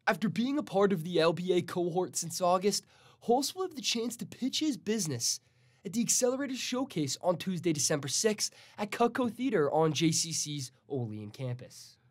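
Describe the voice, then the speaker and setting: A man's voice: sits high, very animated, normal speed, no room sound, studio quality. A young American man delivering broadcast news as a student TV reporter, in a formal announcer-like manner. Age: young adult.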